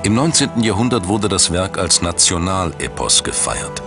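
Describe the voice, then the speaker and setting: A man narrating a scripted documentary in a measured, dramatic storytelling manner. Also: low-pitched, very animated. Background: background music.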